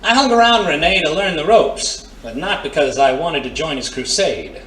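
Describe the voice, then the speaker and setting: High, bright-voiced tough guy ex-thief, played by a man. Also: animated, moderate pace. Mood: wary, serious. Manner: wisecracking, slangy tough-guy talk. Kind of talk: pulp adventure audio drama acting. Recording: roomy, distant mic, faint noise.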